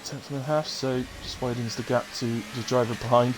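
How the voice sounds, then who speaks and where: A man's voice: mid-range voice, level tone. Chesty man calling sim laps. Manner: brisk, chatty play-by-play with coughs. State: chesty cough. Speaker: race commentator. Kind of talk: live sim racing commentary. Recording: noisy stream mic with car sounds.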